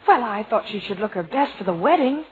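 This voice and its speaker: A woman's voice: mid-range voice, animated, a little fast, background noise, close to an everyday voice. A woman playing a flustered wife bluffing as a baby-care expert in an old radio comedy, nervous, hesitant and stammering. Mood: fluttery, awkward. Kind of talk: 1940s radio comedy acting. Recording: muffled old radio recording, audience behind.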